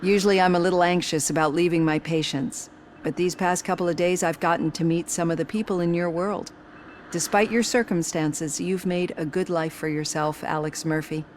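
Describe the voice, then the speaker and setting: Casting: actress as earnest female physician, lower register, measured and caring, game cutscene. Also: steady tone, slight background noise, natural voice. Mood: kind, sincere. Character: caring, articulate female doctor, composed and earnest. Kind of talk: video game cutscene acting.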